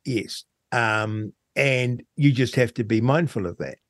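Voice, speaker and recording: A middle-aged or older man with a New Zealand accent, hosting a conversational radio interview in a halting, reflective way. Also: medium pitch, no room sound, studio quality. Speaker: talk show host.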